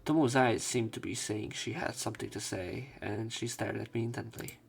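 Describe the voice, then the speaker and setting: A man narrating a game let's play, reading dialogue aloud with casual, halting commentary. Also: on the low side, creaky, soft background music.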